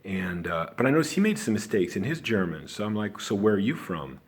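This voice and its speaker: A man's voice: on the low side, very animated, brisk. A man from Chicago with an American accent, talking loosely in a casual monologue as an online teacher, often asking "you know what I'm saying?". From Chicago, USA.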